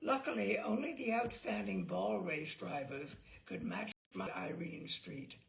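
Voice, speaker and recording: A woman storyteller with a heavily creaking, gravelly voice. She reads a literary tale at an unhurried pace, theatrical and deliberate, savoring the phrases as she goes. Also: mid-range voice, big pitch swings. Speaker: storyteller reading aloud on own show. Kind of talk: expressive reading of a literary memoir.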